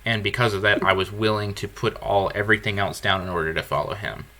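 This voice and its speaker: A man hosting a podcast, talking earnestly and candidly about his faith in a deep low baritone, often restarting his phrases. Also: steady tone, fast, sharp tone. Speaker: podcast host. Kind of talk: earnest conversational podcast talk.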